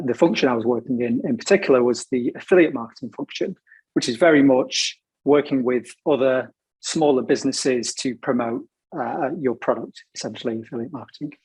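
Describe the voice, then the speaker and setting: A man speaks as a panel guest, his voice husky and worn from a sore throat. He rambles casually through his working life in a chatty, storytelling way. The sound is dry and close, with no room around it. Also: mid-range voice, no echo, poor audio. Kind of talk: casual career talk.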